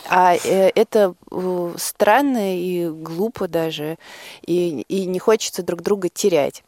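A woman speaking unscripted as a guest in a radio conversation, halting and stretching words as she thinks. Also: lowish voice, darkish tone, dry recording, clean studio sound. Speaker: guest, project organiser.

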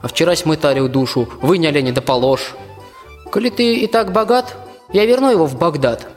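Folk-tale soldier hero, male actor: fairly high voice, blunt rhymed lines, big hall reverb, faint music. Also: expressive, moderate pace, close to an everyday voice. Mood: cheeky, defiant. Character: plain-spoken, cheeky peasant soldier hero. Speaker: student radio-play actor. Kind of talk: rhymed radio play acting.

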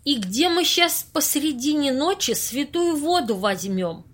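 A woman's voice: sits high, animated, unhurried. A woman acting an earnest, anxious and strict character in an amateur audio play, speaking in a fretful, reproving way. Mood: worried.

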